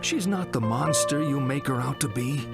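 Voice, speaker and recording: A man's voice: mid-range voice, lively intonation, moderate pace, gravelly. An actor playing a provocative, impatient male psychiatrist in a video game, theatrical and pointed, asking rhetorical questions. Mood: grave, insistent. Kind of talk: dramatic video game acting. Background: music.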